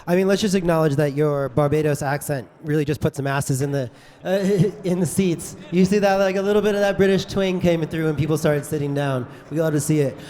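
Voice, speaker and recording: A man moderating a conference panel debate, brisk and joking in an informal way. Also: on the high side, dark tone. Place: large conference hall. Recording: echoey conference hall.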